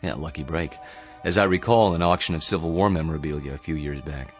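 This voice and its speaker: An actor playing a popular history professor in a radio drama, with a measured, theatrical way of lecturing. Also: male voice, extremely low pitch, soft background music. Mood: polite, guarded. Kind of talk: modern radio drama acting.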